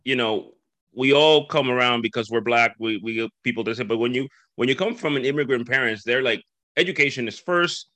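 A lively host from the Dominican Republic chatting on a dry, close mic with no room sound. His speech runs in loose, run-on thoughts, and he lifts his pitch to act out other people's voices. He sounds casual and animated, like in a laid-back interview. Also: a little fast, no room sound. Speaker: podcast host.

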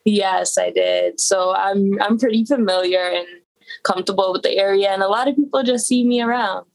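Young woman guest from Toronto, mid-pitch, relaxed easygoing storyteller. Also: moderate pace, vocal fry, low-quality recording. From Toronto, Canada. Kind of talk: casual interview chat.

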